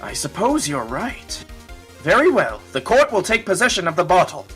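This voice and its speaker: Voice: mid-range voice, very animated, normal speed, fairly bright tone, soft background music. A performer playing a pompous, easily shocked male judge in an amateur courtroom game fandub. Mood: considering.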